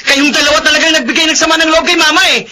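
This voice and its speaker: An actor playing a self-righteous, indignant young brother in a Filipino radio drama. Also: male voice, very high-pitched, steady tone, rapid-fire, sharp tone, full character voice. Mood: accusing.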